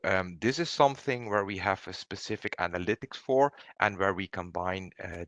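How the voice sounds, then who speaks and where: A man giving a webinar product presentation, explaining things in a halting way. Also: deep voice, a bit bright, dry recording.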